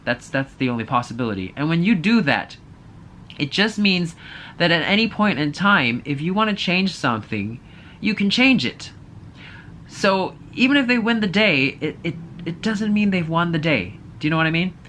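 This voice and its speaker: A man's voice: fairly high pitch, big pitch swings, normal speed, sharp tone, slight background noise. A man giving a casual, opinionated movie review, thinking aloud in a hesitant, apologetic way.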